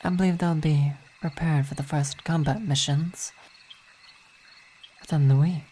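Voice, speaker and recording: A woman playing a weary vampire wife and coven commander, speaking in intimate ASMR roleplay with measured, hesitant, formal phrasing, tender yet imperious. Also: deep voice, breathy edge. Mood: proud, composed. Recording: steady night insect ambience behind voice.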